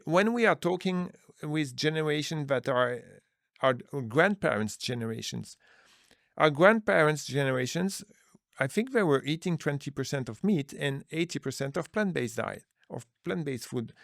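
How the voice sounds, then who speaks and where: Earnest man with a gravelly voice. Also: medium pitch, a bit bright, dry recording, clean studio sound. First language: Dutch. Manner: earnest, searching for words, many restarts. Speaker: business manager, interview guest. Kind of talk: conversational interview answers.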